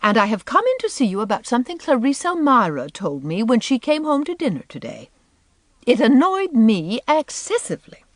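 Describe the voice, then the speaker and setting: A woman acts out a haughty storybook society mother with a raised, swooping pitch. She is pompous and affected, stressing her words with indignant insistence. The recording is close and dry. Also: wildly swooping pitch, a little fast, dry recording. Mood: haughty, annoyed. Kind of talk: audiobook character voice.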